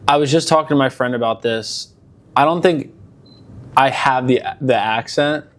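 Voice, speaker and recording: A young man from Delaware County, Pennsylvania, a musician guest in a casual interview, talking in a relaxed, rambling way with lots of fillers. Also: medium pitch, normal speed.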